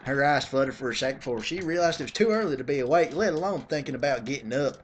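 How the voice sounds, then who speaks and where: A mid-pitched voice performs a mock dramatic reading of fan fiction. The delivery is theatrical and put-upon, with an exasperated edge. Sarcastic commentary is slipped in between the narrated sentences. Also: expressive, quick pace, breathy edge. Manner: theatrical, exasperated, breaks off for snarky remarks.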